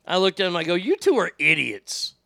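A middle-aged radio host with a high, bright voice and a gravelly edge tells profane stories in a casual, animated way. The sound is close and dry, with no room around it. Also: male voice, brisk, dry recording. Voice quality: high voice without much bass. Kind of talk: casual storytelling podcast.

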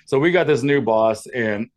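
A man's voice: medium pitch, animated, a little slow, vocal fry. A man co-hosting a podcast in a casual, rambling, jokey and profane storytelling style, with a powerful voice. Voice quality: loud, powerful voice. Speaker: podcast co-host. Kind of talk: casual comedic chat, telling workplace stories.

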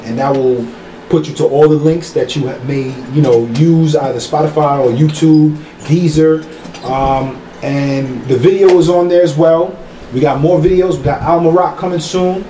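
A man's voice: a little high, dark tone. Rambling man in an echoey room. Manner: loose, rambling, repeats himself. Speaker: guest musician. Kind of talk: casual podcast talk. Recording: echoey room with faint noise.

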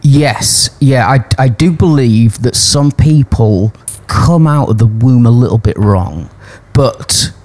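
A man with a soft-edged, mellow voice at an average pitch, recorded very dry. He hosts in a loose, chatty style, giving his opinions and often turning them into questions to the others. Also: takes it slowly, few pauses, no echo. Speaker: podcast host. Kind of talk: casual, opinionated chat.

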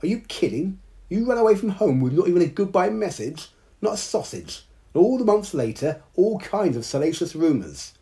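A man's voice: high-pitched, very animated, normal speed, warm tone, pitched above the performer's own voice. A man voicing a cheeky, boastful male talking duck in a storybook reading. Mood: indignant. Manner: blustering, boastful.

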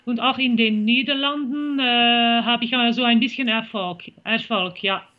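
A woman from Belgium with a Belgian accent, answering interview questions as a country singer, pausing often to search for words. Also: on the high side, steady tone, lo-fi sound. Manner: halting, searches for German words with many fillers.

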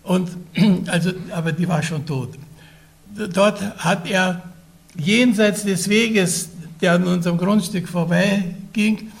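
A man in his nineties, Russian-speaking from childhood and raised in Vienna, telling his life story haltingly, with frequent pauses, restarts and throat clearing. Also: high-pitched. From Vienna, Austria. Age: older. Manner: hesitant, many fillers and restarts. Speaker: interviewee recounting his life. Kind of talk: oral history recollection.

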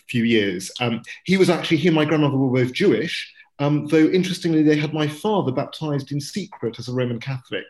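A man speaking in a panel discussion as a journalist and church historian, measured and pausing with fillers between phrases. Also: medium pitch, darkish tone, low-quality recording.

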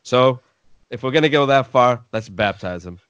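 A man's voice: mid-range voice, lively intonation, moderate pace, a bit bright, clear, resonant tone, no room sound, dull audio. A man hosting a casual sports talk show, speaking in a blunt, opinionated way.

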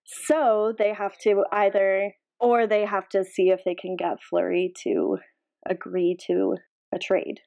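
A woman's voice: mid-range voice, little pitch movement, unhurried, vocal fry, mellow. A woman co-hosting a podcast in casual sports chat, with a dry, matter-of-fact manner. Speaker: podcast co-host.

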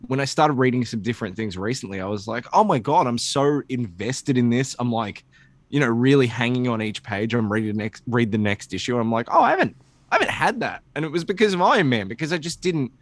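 A man co-hosting a podcast in casual chat, rambling in an animated, opinionated and sweary way. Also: medium pitch, fast talker, no echo. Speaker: comic book podcast co-host.

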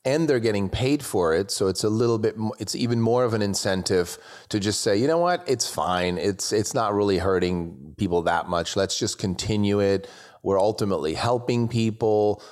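A man from Switzerland with a fairly low voice speaks as an interview host. He talks in a conversational way, putting points and questions to a guest. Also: moderate pace, a little mellow.

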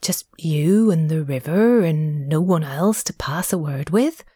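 A woman's voice: fairly high pitch, very animated, takes it slowly, a little mellow, husky, no room sound, heavily acted. A timid young mole, as a woman reader puts him on in a storybook, his voice close to her own. He asks shy, wondering questions in a dry, close sound. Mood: nervous. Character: timid, wide-eyed young male mole.

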